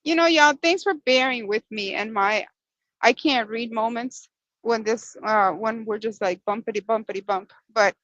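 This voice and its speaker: A woman's voice: on the high side, normal speed, fairly bright tone, no room sound, highs cut off. A woman from Washington Heights whose first language is Dominican Spanish. She co-hosts a casual livestream from a moving vehicle, reads viewer comments aloud and laughs easily. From Washington Heights, Manhattan. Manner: chatty, laughs easily, apologetic when she loses her place. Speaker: co-host. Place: inside a moving vehicle on a bumpy road.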